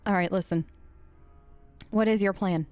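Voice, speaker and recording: A woman's voice: mid-range voice, steady tone, measured pace, a little mellow, no room sound. A woman doing casual, improvised role-play commentary as host of a game let's play, informal and outspoken.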